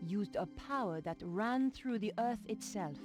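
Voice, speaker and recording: A woman's voice: medium pitch, expressive, unhurried, over music, natural voice. An actress playing a determined young woman journalist in a video game. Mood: focused. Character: young female investigative journalist, earnest and determined. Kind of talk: video game voice acting, narration and dialogue.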